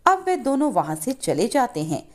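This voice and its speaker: A woman's voice: fairly high pitch, sing-song, normal speed, darkish tone. A woman narrating a scripted moral story as storyteller.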